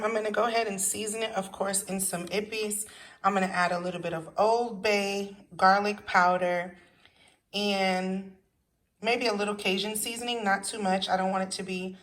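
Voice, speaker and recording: A woman's voice: medium pitch, fairly bright tone. A woman hosting a casual home cooking video, thinking aloud while she cooks one-handed in her kitchen. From Haitian family background. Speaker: home cook showing a recipe. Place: home kitchen with running tap. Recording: background noise.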